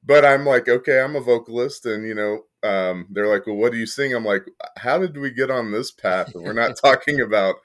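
Podcast guest: deep-voiced male arts director, relaxed, halting, filler-heavy. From Kentucky. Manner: thoughtful, many fillers and restarts, occasional laughs. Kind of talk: casual interview conversation.

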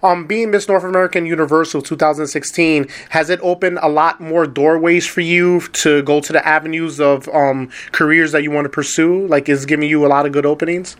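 A man hosts a casual interview, asking long, rambling questions in a chatty way. His voice sits a little high for a man. The sound has a phone-line quality. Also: steady tone, a little fast, hardly any pauses, fairly bright tone. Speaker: interview host.

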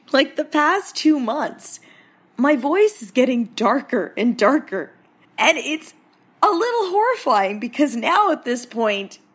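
Brief: tired, sardonic woman comic host, a touch raspy, higher-pitched voice. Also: a bit bright. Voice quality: getting raspier. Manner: dry, mocking, puts on silly voices for bits. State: exhausted. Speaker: comedy podcast host. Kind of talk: sarcastic comic monologue.

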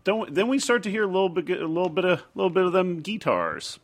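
A man co-hosting a casual comedy podcast, explaining things in a halting, mock-scholarly way. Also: high-pitched, very animated. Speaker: podcast co-host.

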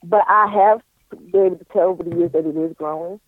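A man in his thirties, a guest in a casual interview, talking in an easygoing, thoughtful way with many pauses and fillers. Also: sits high, steady tone, dry recording. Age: 30s. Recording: tinny phone line.

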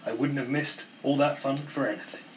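A cheeky little storybook engine, acted out by a male reader, teasing and mocking. The voice sits a bit above the reader's own and is lively in character, with noise behind it. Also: medium pitch, brisk, close to an everyday voice, rough recording. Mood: gleeful teasing. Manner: mocking and gleeful.

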